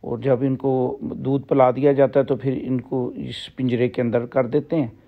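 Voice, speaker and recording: A man walks through his farm and explains each shed in a steady, level voice that barely rises or falls. He pauses often and fills gaps with short hesitations. The handheld phone audio sounds slightly dull. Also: mid-range voice. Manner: plain, explanatory, with frequent pauses and fillers. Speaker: farm owner giving a tour. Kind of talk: walking farm tour narration. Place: outdoors on a livestock farm. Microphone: phone camera held while walking.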